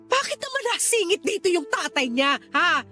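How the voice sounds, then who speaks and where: A woman's voice: very high voice, sing-song, moderate pace, bright, forceful tone, exaggerated voice. An actress playing a protective young mother in a radio drama, pleading and reproachful with her husband. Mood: hurt, reproachful. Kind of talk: Tagalog radio drama acting. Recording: music behind the voice.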